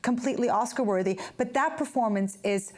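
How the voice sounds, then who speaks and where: A woman's voice: a little high, a little fast, a little mellow. A woman on a film review panel, speaking conversationally in a considered, emphatic way.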